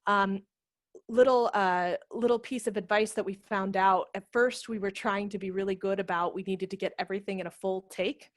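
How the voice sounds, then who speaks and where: A woman in her thirties giving informal practical instruction on a video call, explaining with quick asides. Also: medium pitch, fairly even intonation, dry recording, studio quality. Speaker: theatre director and workshop presenter. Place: home room with brick wall, video call. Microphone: headset microphone.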